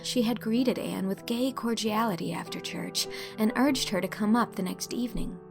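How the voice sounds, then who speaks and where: Female audiobook narrator: expressive literary reading, mid pitch with vocal fry, music underneath. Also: moderate pace.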